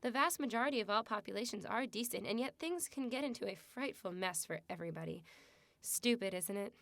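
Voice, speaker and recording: A woman's voice: medium pitch, animated, brisk, gravelly, a bit bright, no echo. Woman acting a frank, composed expectant mother, dry sound. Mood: earnest, philosophical. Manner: wry, composed, matter-of-fact. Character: frank, wry, unsentimental expectant mother and wife. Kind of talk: radio drama acting.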